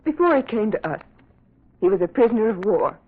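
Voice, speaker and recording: A woman's voice: medium pitch, sing-song, brisk, slightly rough. A young woman acting a distressed, earnest daughter of a murdered man in a radio drama. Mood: grave, pleading. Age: young adult. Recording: dull lo-fi radio sound.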